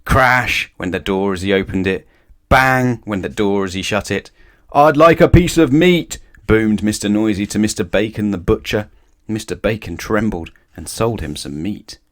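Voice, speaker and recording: A man narrating a children's storybook aloud in an expressive read-aloud style. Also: lowish voice, moderate pace.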